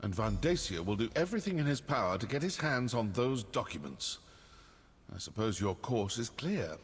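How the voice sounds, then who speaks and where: A man voicing a vengeful, sardonic assassin in a fantasy video game, husky, measured and coolly menacing. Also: on the low side, sing-song. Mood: cold resolve. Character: vengeful, sardonic male assassin from a dark brotherhood. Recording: long cave-like reverb.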